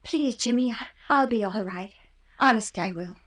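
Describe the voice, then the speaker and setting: A woman's voice: fairly high pitch, moderate pace, fairly bright tone. An actress playing a sweet, anxious young American woman with a girlish, hesitant manner, in 1940s radio style. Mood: anxious, pleading.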